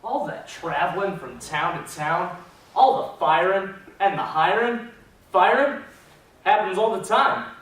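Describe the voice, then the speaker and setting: Man playing a high-voiced, theatrical circus ringmaster. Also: expressive, unhurried, fairly bright tone. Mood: hard-bitten. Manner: declamatory, theatrical. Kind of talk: readers theater performance. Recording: very echoey hall, distant microphone.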